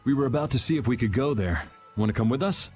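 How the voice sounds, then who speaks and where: A man's voice: mid-range voice, lively intonation, fast, darkish tone, lightly acted. Man as cool young game hero, mid-range voice, dry, offhand, self-assured, music behind. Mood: cool, decisive. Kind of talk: video game voice acting. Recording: music under the voice.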